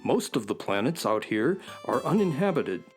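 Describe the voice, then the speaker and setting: A man's voice: mid-range voice, very animated, normal speed, faint music behind, close to an everyday voice. A man playing an earnest, knowledgeable, polite space adventurer in a children's radio drama, speaking precisely and courteously. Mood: earnest, concerned. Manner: precise, courteous, well-spoken.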